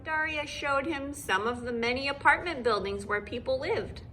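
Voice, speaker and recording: A woman teacher reading a children's book aloud in an expressive, deliberate storyteller style for young listeners. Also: high voice, slight background noise.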